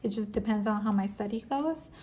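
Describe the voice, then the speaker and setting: A woman's voice: mid-range voice, a little fast, darkish tone. A young woman whose first languages are Korean and Chinese, chatting casually through a planner flip-through with plenty of ums and restarts. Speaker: planner vlogger. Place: indoors at a wooden table. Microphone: overhead camera on a tabletop.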